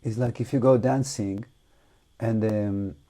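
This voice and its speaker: A man who is not a native English speaker guides an unscripted meditation talk, reflective and halting, with long pauses. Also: lowish voice, steady tone, warm tone. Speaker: meditation teacher.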